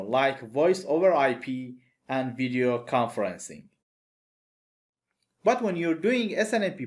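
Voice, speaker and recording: A man with a non-native English accent, giving a recorded networking lecture over slides in a methodical, explanatory way. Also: on the high side. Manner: methodical, plain explanatory delivery. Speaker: networking instructor.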